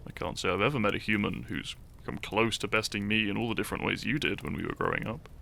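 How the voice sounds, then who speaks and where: A man playing a shy, teasing, lovestruck young hero in an intimate romantic audio roleplay, speaking softly and hesitantly with small stammers. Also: fairly low pitch, fast, full character voice. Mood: curious, fond. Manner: soft, hesitant, stammering, breathy sighs and chuckles.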